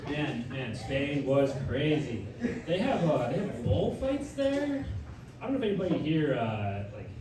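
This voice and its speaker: A young man performing a stand-up comedy set, rambling and self-mocking, with loud punchlines and audience laughter. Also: on the high side, sing-song, measured pace, recorded from a distance, crowd noise. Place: comedy club stage. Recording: echoey room taped from afar, noisy.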